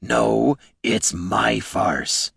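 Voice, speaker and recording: A man's voice: fairly low pitch, very slow, vocal fry, fairly bright tone, husky, no room sound, studio quality, pitched above the performer's own voice, exaggerated voice. A man voicing a lonely troll who longs for friends, speaking wistfully in an audiobook.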